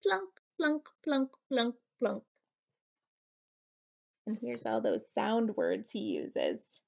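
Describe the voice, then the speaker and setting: High, animated woman reading a children's story. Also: very slow, vocal fry, muffled recording. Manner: expressive, playful sound-effect words. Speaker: first grade teacher reading aloud. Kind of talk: animated children's picture book read-aloud.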